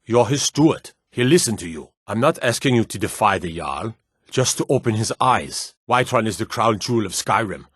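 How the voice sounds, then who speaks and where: An actor playing a gruff, proud Nord guard in a fantasy video game, blunt and curt with folksy rustic phrasing. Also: male voice, lowish voice, lively intonation, normal speed, fairly bright tone, no echo, studio quality. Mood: urgent, insistent.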